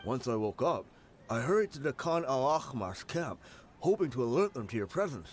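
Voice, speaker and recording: Actor as a wry mercenary with swooping intonation. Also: male voice, medium pitch, sing-song, normal speed, a little hiss or hum, close to an everyday voice. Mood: wry, resigned. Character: defeated male desert mercenary, wry and resigned. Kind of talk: scripted game dialogue.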